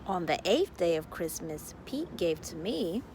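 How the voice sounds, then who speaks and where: A woman hosting a children's story time, reading a picture book aloud in a playful, drawn-out sing-song delivery. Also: on the low side, big pitch swings, a little mellow, slight background noise.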